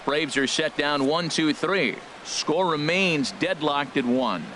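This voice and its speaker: A man's voice: fairly high pitch, slow, pushed and bright, rough recording. A man playing a composed, professional baseball play-by-play announcer in a video game, calling the action. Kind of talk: play-by-play sports commentary. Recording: stadium noise behind the commentary.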